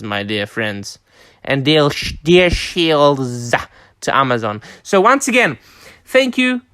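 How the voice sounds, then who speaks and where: A man's voice: on the high side, a bit bright, smooth, no room sound, clean studio sound. Emphatic man hosting a review vlog. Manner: enthusiastic, emphatic, many fillers. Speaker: host. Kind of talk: book and film review vlog.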